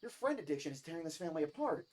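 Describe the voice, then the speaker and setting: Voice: medium pitch, big pitch swings, rapid-fire, darkish tone. A performer voicing a casual, teasing, kind-hearted teenage girl in a visual novel reading. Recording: music behind the voice.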